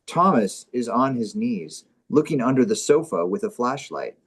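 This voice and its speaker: Man, deadpan comedy performer, casual banter and script reading, average pitch. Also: normal speed, slightly rough.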